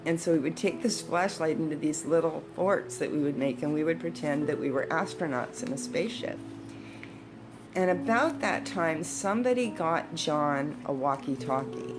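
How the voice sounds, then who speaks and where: A woman in her sixties telling personal stories in a casual, conversational, unhurried way, like a storyteller reminiscing. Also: low-pitched, expressive, noisy background. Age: 60s. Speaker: storyteller, video host. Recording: background guitar music. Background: instrumental guitar music.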